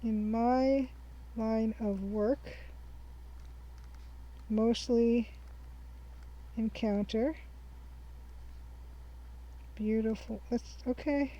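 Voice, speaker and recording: A young woman hosting a game playthrough, her voice sitting a little high as she chats casually. She thinks aloud and reads her notes back slowly. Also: steady tone, warm tone, muffled recording. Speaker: let's-play host. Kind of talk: casual let's-play commentary.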